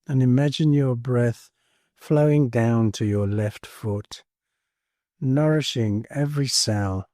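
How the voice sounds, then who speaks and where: A man leading a guided meditation in a calm, soothing manner, leaving space between short phrases. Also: medium pitch, slow pace, no echo, studio quality. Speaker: meditation teacher. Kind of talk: guided body scan meditation.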